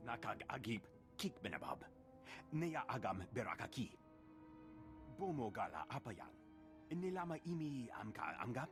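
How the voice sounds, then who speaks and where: A man's voice: mid-range voice, very animated, normal speed, long pauses, over music. An actor voicing a male alien creature that declaims in an invented tongue for a video game. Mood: declaiming.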